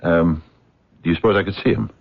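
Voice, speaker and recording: An actor playing an earnest, courteous American physicist in a radio mystery, speaking in a hesitant, wordy, stammering way. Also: male voice, deep voice, a little fast, vocal fry. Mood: eager, courteous. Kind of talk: radio drama acting. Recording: dull, muffled radio drama recording.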